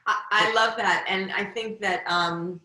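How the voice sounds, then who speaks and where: Bright-voiced middle-aged woman hosting, roomy echo. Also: mid-range voice, fairly even intonation, a little slow. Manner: effusive, encouraging. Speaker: podcast host, former hospice nurse. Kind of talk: warm podcast interview.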